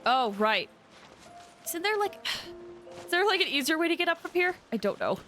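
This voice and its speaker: A woman livestreaming a game, chatting casually with viewers in a bubbly, expressive way as she thinks aloud. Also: extremely high pitch, normal speed. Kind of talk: casual gameplay chat with viewers. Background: game music.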